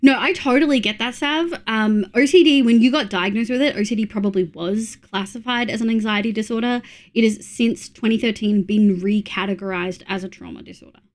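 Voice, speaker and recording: A young woman with a slightly high voice talks casually with her viewers, thinking aloud with little fillers. She sounds a bit congested from a cold. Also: a little fast. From Australia. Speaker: streamer. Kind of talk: casual chat reading viewer messages.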